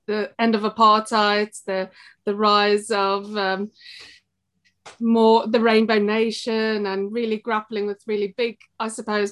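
A middle-aged woman with a South African accent speaks in a level, steady tone that barely rises or falls. She thinks aloud as she goes, pausing and restarting often in easy conversation. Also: mid-range voice. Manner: thoughtful, halting with fillers and restarts. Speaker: guest, shop co-owner. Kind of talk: casual interview chat.

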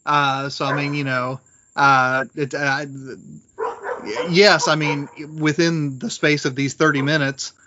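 A man co-hosting a podcast in casual, analytical discussion, thinking aloud with halting restarts, on dull, faintly noisy audio. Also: a little high, level tone. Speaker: podcast co-host. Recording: dull lo-fi audio with background noise.

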